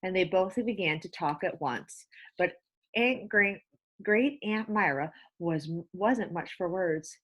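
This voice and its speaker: Woman, primary-teacher read-aloud narrator, slightly low pitch, explains the story in asides. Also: animated, slow, fairly bright tone. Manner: explains to young listeners in asides.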